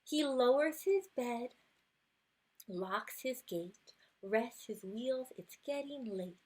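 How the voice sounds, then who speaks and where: A woman reading a rhyming children's picture book aloud expressively, unhurried, with hushed moments and little asides. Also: fairly high pitch, breathy edge. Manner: expressive, unhurried, drops to hushed whispers at good nights. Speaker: storytime reader.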